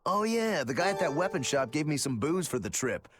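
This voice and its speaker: A man's voice: fairly high pitch, sing-song, fairly quick. Laid-back, wry demon swordsman, slight noise. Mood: easygoing. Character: easygoing male demon swordsman who loves drink. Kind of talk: English video game voice acting.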